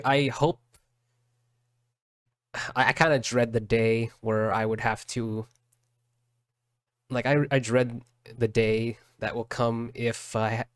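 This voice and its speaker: A man doing casual reaction commentary as a streamer, halting and often repeating his phrases. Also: medium pitch, fairly bright tone, no room sound, studio quality. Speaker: streamer reacting to game trailers. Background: game trailer music in the background.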